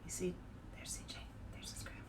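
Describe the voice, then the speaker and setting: A woman hosting a read-aloud for children, with an emphatic, deliberate storybook delivery. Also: whispered. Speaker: host and author reading picture books aloud. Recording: distant mic with some background noise.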